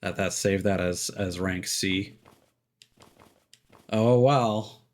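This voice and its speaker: A man giving casual live game commentary, rambling and thinking aloud with hesitant pauses. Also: sits low. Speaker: game streamer. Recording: game music behind the voice. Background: game music.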